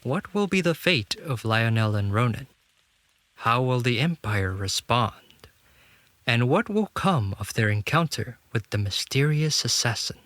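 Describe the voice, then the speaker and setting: A man's voice: mid-range voice, lively intonation, unhurried, fairly bright tone. A man narrating a fantasy audio drama in a dramatic, scripted storytelling style.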